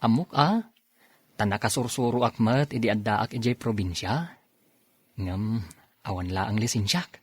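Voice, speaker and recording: A man's voice: on the low side, normal speed, pauses often, breathy edge, dry recording. A man playing an earnest young provincial suitor in a radio drama, speaking with an Ilocano accent. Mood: gentle, helpful. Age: young adult. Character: earnest 24-year-old provincial man courting an older woman.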